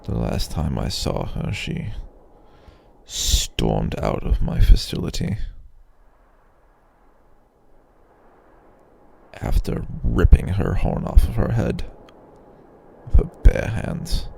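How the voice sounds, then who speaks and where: A man voicing an ancient, proud, weary male dragon in a possessive roleplay ASMR monologue, deep and gravelly, musing haltingly as he broods. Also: big pitch swings, dark tone, slight background noise, exaggerated voice. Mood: wounded, brooding.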